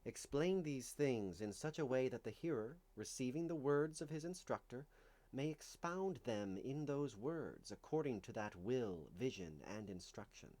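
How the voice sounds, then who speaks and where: A man reading religious writing aloud in a solemn, declamatory way, with deliberate phrasing and dramatic emphasis. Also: medium pitch, animated, a little mellow. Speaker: reader of a religious text. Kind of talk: dramatic reading of medieval visionary scripture.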